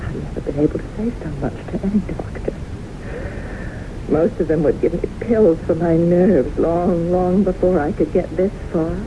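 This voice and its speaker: A woman's voice: on the low side, lightly acted. Haunted woman played by an actress in old radio drama. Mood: earnest, confiding. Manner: intense, halting, deliberate delivery. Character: haunted, earnest woman convinced death pursues her. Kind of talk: 1970s radio drama acting. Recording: noisy, muffled 1970s radio broadcast recording.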